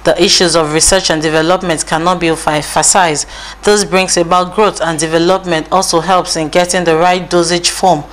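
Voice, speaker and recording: Low-pitched woman reading a corporate voice-over. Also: fairly even intonation, brisk. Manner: smooth, promotional reading. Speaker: narrator.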